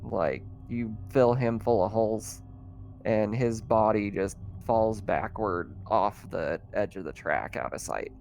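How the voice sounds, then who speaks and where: Casual male game master with creaky voice. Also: on the low side, slow, a little hiss or hum. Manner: casual, conversational, thinking out loud. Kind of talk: narrating a tabletop roleplaying game, improvised.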